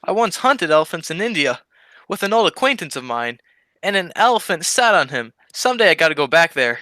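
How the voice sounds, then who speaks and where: Woman as bright-voiced, cheeky, dim comic sidekick. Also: lowish voice, very animated, brisk, no echo. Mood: innocent, cheeky. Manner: innocent wisecracking punchline delivery. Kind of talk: old-time radio comedy double act.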